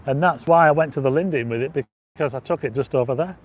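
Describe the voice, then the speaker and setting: A man hosting a casual livestream on a windy seafront at dusk, chatting and reading out viewer comments, his voice going hoarse with frequent throat clearing. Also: medium pitch. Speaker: livestream host. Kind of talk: casual walking livestream chat.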